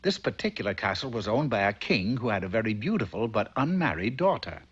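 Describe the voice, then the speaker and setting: A man's voice: lowish voice, very animated, fairly quick, slightly rough. A man narrating a comic fairy tale for a cartoon in a storybook style. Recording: dull old cartoon soundtrack, faint noise.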